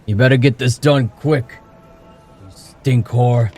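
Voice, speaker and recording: A man's voice: mid-range voice, takes it slowly, a little mellow, husky, soft background music, pitched above the performer's own voice. A man voicing a drunk, abusive father who thunders curses. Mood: furious. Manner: thundering, cursing.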